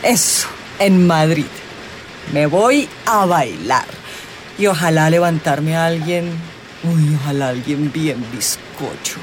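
A woman's voice: sits low, lively intonation, takes it slowly, a little hiss or hum, rough recording. An actress playing an impulsive, flirtatious party-loving woman with a Colombian accent in a radio drama. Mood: playful, carefree.

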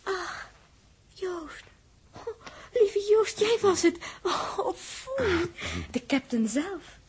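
Tender young female crew member, very high voice, slow halting delivery, breathy half-whispers, emotional outbursts, vintage radio drama. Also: big pitch swings, a little mellow, exaggerated voice. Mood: tender, teasing. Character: young woman crew member, weary, tender, coquettish, easily alarmed. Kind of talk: 1960s Dutch radio drama acting.

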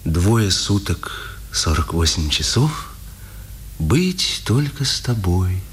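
An actor playing a tender, eager journalist husband in a 1950s radio drama. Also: male voice, deep voice, sing-song, slow pace, full character voice. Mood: tender, coaxing. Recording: noisy old radio play recording. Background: airplane drone.

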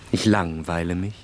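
An actor playing a cool, bored, elegant gentleman officer in a radio play, detached and languidly polite. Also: deep voice. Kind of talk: German radio play dialogue.

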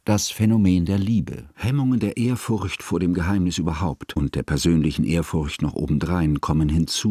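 Casting: pedantic male scholar-narrator for radio drama; low register, dry close sound, formal and brooding. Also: darkish tone, no room sound. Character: stiff, pedantic, brooding scholar narrating his friend's story. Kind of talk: German radio play narration.

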